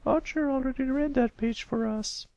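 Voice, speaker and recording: Man putting on a very high female pony schoolteacher. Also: normal speed, mellow, muffled recording. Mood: stern, concerned. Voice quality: falsetto.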